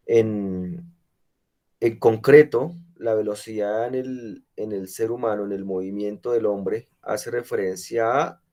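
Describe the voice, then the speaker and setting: A man's voice: on the low side, mellow. A man teaching a physical education class while he has a cold, explaining step by step and checking in with his students. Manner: explanatory, many rhetorical checks. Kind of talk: online class lecture.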